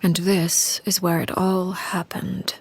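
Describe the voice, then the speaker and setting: An actress voicing a cold, composed woman who leads a household of orphans, speaking in a measured, calm and quietly commanding way with a hushed, breathy voice. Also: on the low side, exaggerated voice. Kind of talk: story-driven game voice acting.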